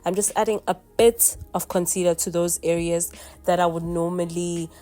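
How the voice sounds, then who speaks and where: A woman's voice: fairly low pitch, steady tone, normal speed, dark tone. A young woman, congested from a cold, chatting through a makeup tutorial and explaining each step. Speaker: makeup vlogger.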